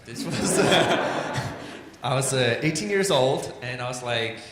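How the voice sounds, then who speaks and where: A man's voice: medium pitch, takes it slowly. A man from Denmark with a Danish accent, a native Danish speaker, giving an informal illustration talk in a loose, chatty, self-deprecating way full of "like" and "um". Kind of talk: informal presentation. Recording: echoey hall with steady background noise.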